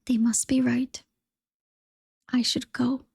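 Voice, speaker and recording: Lady whispering as a sad lonely duckling boy, soft and breathy. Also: on the high side, little pitch movement, slow, dry recording, clean studio sound, heavily acted.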